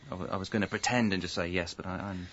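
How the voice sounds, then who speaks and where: A man's voice: low-pitched, steady tone, rapid-fire, a bit bright, lo-fi sound, slight background noise. An actor playing a hapless, earnest young father and husband in a radio comedy, by turns sheepish and drily wry. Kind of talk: radio comedy acting with wry first-person narration.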